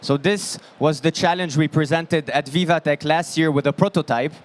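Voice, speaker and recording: A man's voice: fairly high pitch, fairly even intonation, moderate pace. Man with a strained, fading voice. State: losing his voice from shouting. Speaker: product presenter. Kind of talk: conference stage talk. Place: large indoor expo hall stage. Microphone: handheld microphone.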